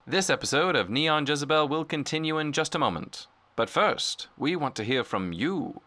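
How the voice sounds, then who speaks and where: An actor playing a polished, genial radio announcer in an audio drama, with formal, salesmanlike delivery in an old-time style. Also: male voice, on the high side, expressive, moderate pace, sharp tone. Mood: polished, promotional. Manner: formal, salesmanlike, crisp phrasing. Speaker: sponsor-break announcer.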